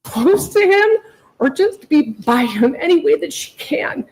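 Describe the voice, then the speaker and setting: A woman's voice: sits high, lively intonation, unhurried, a little mellow, rough recording. A middle-aged woman making an emotional, unscripted plea to a judge, emphatic and often repeating herself. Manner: pleading, repetitive, emphatic. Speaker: mother pleading in court for her daughter.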